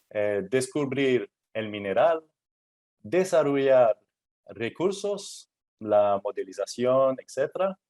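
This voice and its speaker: A man giving a technical workshop talk in Spanish, his third language. He speaks slowly, with a mid-range voice. He pauses often and fills the gaps with hesitant "eh" sounds, restarting words as he searches for them. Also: medium pitch, darkish tone. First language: not Spanish; Spanish is his third language. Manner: halting, many "eh" fillers and restarts, non-native phrasing. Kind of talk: technical presentation.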